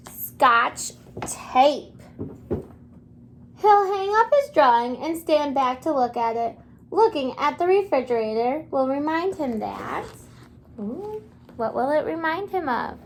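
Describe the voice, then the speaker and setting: A high-pitched woman reads aloud as a kindergarten teacher. She takes her time, speaking in simple, encouraging phrases and pausing after questions as if small children are answering. There is faint noise behind her. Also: lively intonation. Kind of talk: interactive children's read-aloud lesson.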